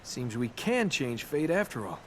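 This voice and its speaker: Wisecracking young adventurer, lively delivery. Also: male voice, mid-range voice, a little slow, a little hiss or hum. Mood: wry. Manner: sarcastic, flippant banter. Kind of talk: video game voice acting.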